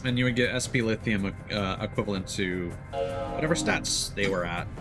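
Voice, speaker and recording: A man's voice: fairly low pitch, normal speed. A man doing casual live gameplay commentary, thinking aloud and chatting to his viewers as he plays. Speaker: game streamer. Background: video game music.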